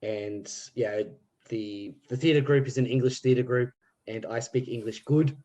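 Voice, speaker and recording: A man's voice: medium pitch, steady tone, unhurried, warm tone. A man from Australia with an Australian accent, a podcast guest telling rambling stories with plenty of fillers. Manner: rambling anecdotes, many fillers. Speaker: guest, theatre group member. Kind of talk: casual podcast chat.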